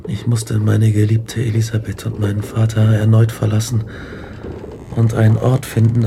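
An actor playing a tormented, guilt-ridden young scientist in a German radio drama, grave and intense. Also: male voice, fairly low pitch, creaky, noisy background. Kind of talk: German radio drama acting, narration and dialogue.

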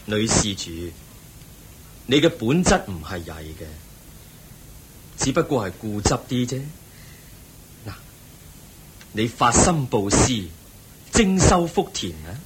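An actor with a Hong Kong Cantonese accent voicing a calm, patient Buddha who gently teaches. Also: male voice, mid-range voice, slow pace, long pauses, background noise. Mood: admonishing. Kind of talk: Cantonese radio drama acting.

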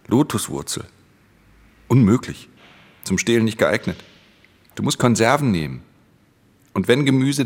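An actor playing a brooding, solitary pickpocket who narrates his crimes in a controlled, introspective, hushed way in a radio drama. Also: lowish voice. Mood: brusque. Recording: studio radio drama with prominent music.

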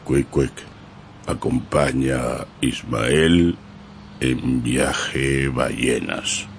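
Very deep, slow cannibal harpooner. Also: male voice, gravelly, a little hiss or hum, full character voice. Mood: solemn, friendly. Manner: slow broken speech in short clipped phrases. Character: gruff foreign cannibal harpooner, menacing yet courteous. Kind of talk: radio drama acting.

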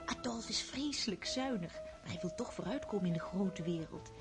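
A woman's voice: mid-range voice, animated, normal speed, over music, close to an everyday voice. A woman playing an eager, chatty young countess in a radio play. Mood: gossipy.

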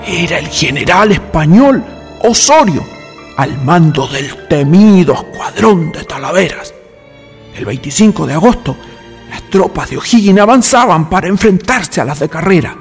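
Narrator for history radio play: man, very lively intonation, creaky voice, music underneath. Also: high-pitched, normal speed. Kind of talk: dramatic scripted historical narration. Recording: long reverb, music behind.

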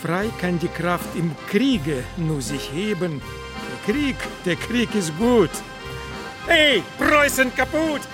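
A martial orator in a radio play, reciting theatrically in a high male voice. Every phrase is extremely slow, with music clearly behind. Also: animated. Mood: martial fervour. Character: declamatory man reciting martial verse.

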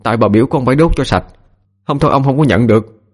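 A man's voice: medium pitch, fast talker, soft background music, voice pushed up, close to an everyday voice. A man voicing a timid young servant boy, meek and tearful, with a Southern Vietnamese accent in an audio story. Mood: frightened.

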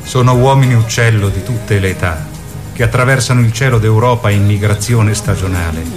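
A man's voice: fairly low pitch, a little fast, over music. A man reading theatrical scripted narration in a radio play.

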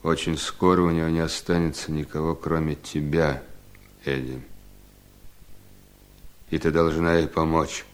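An actor playing an ailing, gentle but determined wealthy gentleman in a radio play. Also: a bass voice, flat delivery, unhurried, pauses often. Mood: quiet, resolute. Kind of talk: radio play acting in Russian. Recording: 1970s studio radio drama.

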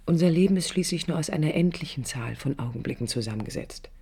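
An actress playing a reflective young woman architect who narrates her life in a pensive, deliberate radio-play monologue. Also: sits low, expressive, creaky, mellow, slightly rough, heavily acted. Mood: reflective, yearning. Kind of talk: literary inner monologue, 1980s radio play.

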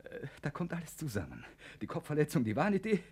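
A man acting in a German radio play as a scheming, hesitant psychiatry professor with a halting, pedantic manner. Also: on the high side, wildly swooping pitch, darkish tone, slightly rough, heavily acted. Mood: coaching, deliberate. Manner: halting, pedantic, emphatic on diagnoses. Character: scheming, hesitant male psychiatry professor, protective of his patient. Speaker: actor.

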